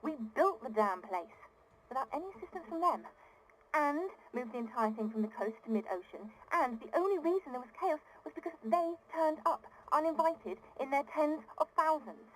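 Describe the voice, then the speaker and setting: A fairly high female voice plays an exasperated founder of an ocean city, recording a private diary in a video game. She is earnest, privileged and indignant, stressing her points in articulate phrases. Clear noise sits behind the recording. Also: animated, normal speed, rough recording. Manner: indignant, articulate, emphatic, with weary sighs. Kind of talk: dramatic video game audio diary acting.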